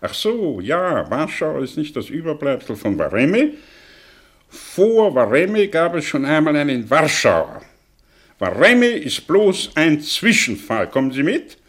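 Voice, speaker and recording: Slow, theatrical aging intellectual. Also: male voice, on the high side, big pitch swings, fairly bright tone, exaggerated voice. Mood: confessional, intense. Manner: theatrical, rhetorical, with dramatic pauses and sudden swells. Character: aging, theatrical, sardonic man, brilliant fallen intellectual confessing his past. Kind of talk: German radio drama acting.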